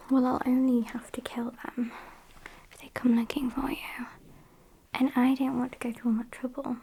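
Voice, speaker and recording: A woman plays a morbid, sassy British vampire who has taken a captive. She whispers softly and breathily at an unhurried pace, matter-of-fact and intimate in ASMR roleplay style. Her voice is mellow, with noticeable hiss on the recording. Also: sits high, creaky. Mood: sassy, scornful. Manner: slow, hushed, matter-of-fact, mildly scornful. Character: sassy, morbid female vampire kidnapper tending her victim. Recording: hissy close-up whisper recording.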